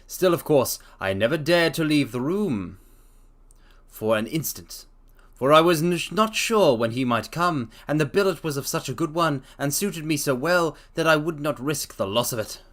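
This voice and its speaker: A man's voice: on the high side, lively intonation, normal speed, fairly bright tone. A man voicing an earnest middle-aged shopkeeper who tells his own story plainly.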